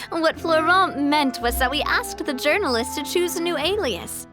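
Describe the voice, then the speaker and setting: High, lively young lady boss. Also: measured pace. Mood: upbeat, musing. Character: breezy, playful young woman boss, confident and modest. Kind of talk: anime video game voice acting. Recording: music behind the dialogue.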